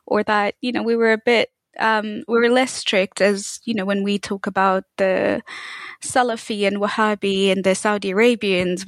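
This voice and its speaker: A woman's voice: medium pitch, no room sound. A woman from Tanzania, a guest speaking thoughtfully on a video call, with frequent fillers. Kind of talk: conversational interview.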